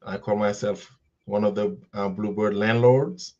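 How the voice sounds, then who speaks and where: A man speaks slowly and thoughtfully, pausing on ums and restarting his sentences. His voice sits fairly low. The sound comes over a dull, lo-fi video-call line. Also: fairly even intonation, dark tone. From Senegal. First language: Wolof. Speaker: teacher, guest.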